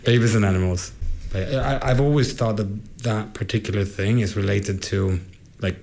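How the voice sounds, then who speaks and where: A man's voice: on the low side, fairly even intonation, moderate pace, gravelly, a little mellow, slight background noise. A man from Sweden, talking casually in a rambling, hesitant way full of restarts. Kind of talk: casual conversation.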